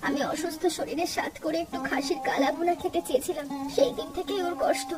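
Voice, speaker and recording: A woman's voice: high-pitched, steady tone, quick pace, recorded from a distance, music in the background, lightly acted. A woman voicing a friendly, wistful, ailing young village wife with a plaintive manner in a cartoon. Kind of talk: Bengali cartoon dialogue.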